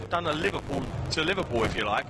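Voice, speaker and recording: A man's voice: on the high side, lively intonation, quick pace. A man working as a football co-commentator, giving opinionated, conversational live match analysis. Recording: noisy live outdoor broadcast.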